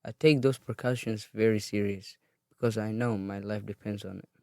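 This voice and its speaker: An eighteen-year-old man from Somalia reading his own life story in an earnest, steady way. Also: on the low side, moderate pace, vocal fry, faint music behind. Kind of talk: read personal narrative.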